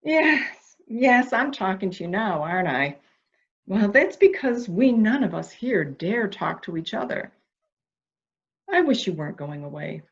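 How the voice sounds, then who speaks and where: A woman's voice: mid-range voice, big pitch swings, a little slow. A woman playing a fretful, intense, protective member of a household in a melodramatic mystery reading, in slightly dull sound. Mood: agitated. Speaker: amateur reader, library staff. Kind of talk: dramatic reading of a murder mystery.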